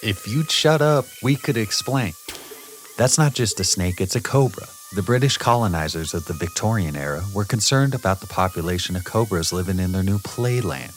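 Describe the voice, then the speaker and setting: An actor playing an assured ghostly storyteller in an audio musical, explaining history in a rhythmic, performed delivery. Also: male voice, fairly low pitch, lively intonation, moderate pace, natural voice. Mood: impatient, explanatory. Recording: loud hip hop music behind.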